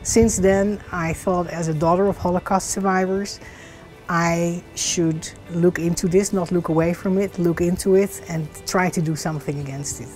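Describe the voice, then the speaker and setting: A woman's voice: lowish voice, steady tone, moderate pace, a little mellow, faint music behind. A woman from the Netherlands, giving personal testimony in an interview.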